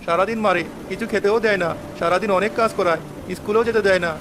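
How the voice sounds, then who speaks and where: A woman's voice: medium pitch, steady tone, fast, background noise, natural voice. A woman voicing a sad, humble young village boy in a children's fairy-tale cartoon, earnest and pleading. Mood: sorrowful. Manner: plaintive, pleading. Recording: music under the voice.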